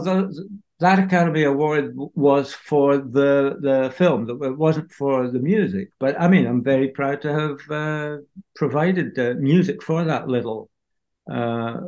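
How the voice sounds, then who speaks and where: Slow hesitant older man. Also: mid-range voice, darkish tone. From Scotland. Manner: slow, hesitant, many fillers. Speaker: film composer. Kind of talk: video call interview. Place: home room. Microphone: video call.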